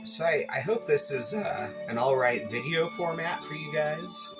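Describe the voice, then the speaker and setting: A man's voice: fairly high pitch, unhurried. A man hosting a shortwave listening channel, chatting in an easygoing, hesitant, casual way. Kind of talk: casual chat commentary. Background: music from a shortwave radio.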